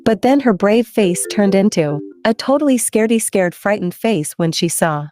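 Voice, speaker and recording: A woman's voice: medium pitch, expressive, moderate pace, over loud music. A woman hosting story time for children, playful and chatty, putting questions to her young listeners.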